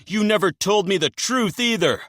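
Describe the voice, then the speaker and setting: A man's voice: low voice, measured pace, a bit bright, no room sound, clean studio sound. A man voicing an enraged male biker who is protective of his sister. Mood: furious. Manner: raging, snarling. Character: furious male biker, protective older brother.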